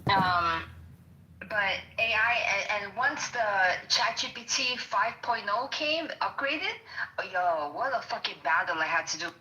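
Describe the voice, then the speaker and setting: Bright-voiced New York woman, rambling. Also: mid-range voice, measured pace, a little hiss or hum, rough recording. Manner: excited, rambling, swears casually. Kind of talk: casual chat.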